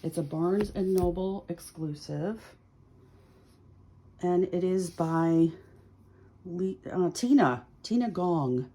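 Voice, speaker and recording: Woman, slightly low pitch, very slow pace, casual tarot host, musing aloud, short phrases with pauses. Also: lively intonation, warm tone, husky. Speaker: tarot reader hosting a video series. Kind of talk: casual chatty card reading, thinking aloud to viewers.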